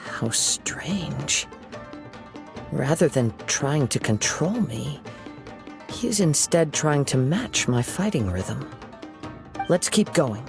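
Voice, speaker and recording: A man playing a calm, courteous young wandering swordsman in a video game, speaking in a measured, formal, composed way. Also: a little high, creaky, heavily acted. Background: game music.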